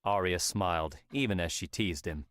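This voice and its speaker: A man's voice: sits low, normal speed, fairly bright tone, no room sound, clean studio sound. A man reading scripted story narration as an audiobook narrator. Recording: music under the voice.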